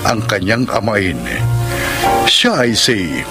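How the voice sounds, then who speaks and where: A man with a Filipino accent, narrating a scripted radio drama and reading its credits in a formal, measured manner. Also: fairly low pitch, very animated. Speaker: announcer and narrator. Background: music.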